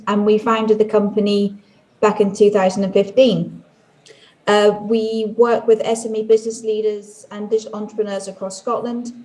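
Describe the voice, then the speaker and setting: A woman speaks over a video call link in a warm, mellow voice. Her intonation is even and flat. She sounds composed and well prepared. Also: mid-range voice, poor audio. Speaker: managing director, remote panelist.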